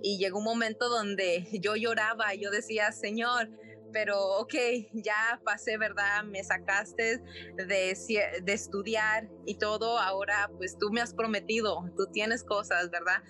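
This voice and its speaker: A woman's voice: medium pitch, fairly even intonation, measured pace, pushed and bright, soft background music. A young woman from Nicaragua, a podcast guest, earnestly telling a personal story with many fillers and tag questions. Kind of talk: personal story in casual chat.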